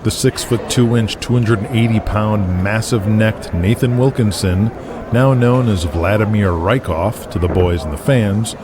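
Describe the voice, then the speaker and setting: A man's voice: fairly low pitch, a little slow, background noise, lightly acted. A man narrating a gritty, crude wrestling audio drama in a scripted storytelling style.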